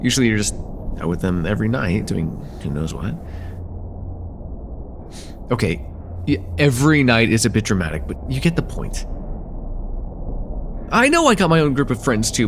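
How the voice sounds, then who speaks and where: A man's voice: mid-range voice, very animated, normal speed, long pauses, noisy background. A young man playing a friendly, slightly nervous college student in a casual, stammering slice-of-life ASMR roleplay chat. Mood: shy, hopeful. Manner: hesitant, stammering, self-deprecating, casual.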